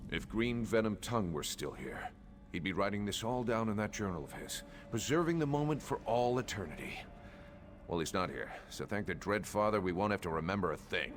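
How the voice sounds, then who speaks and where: An actor playing a sardonic assassin in a fantasy video game, with a wry, dry delivery. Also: male voice, on the low side, expressive, brisk, close to an everyday voice.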